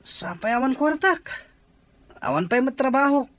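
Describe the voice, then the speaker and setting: Woman as feckless boyfriend, fairly high voice. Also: expressive, moderate pace, background noise. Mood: sleepy, reluctant. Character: feckless young boyfriend, jobless and evasive. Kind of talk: radio-style drama acting.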